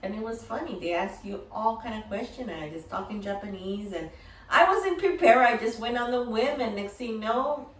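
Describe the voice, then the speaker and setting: A woman's voice: on the high side, normal speed. Woman telling her story, echoey and distant. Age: middle-aged. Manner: animated, rambling, acts out remembered dialogue. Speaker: dog-business entrepreneur and course creator. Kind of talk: casual personal storytelling to camera. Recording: roomy echo, distant from mic.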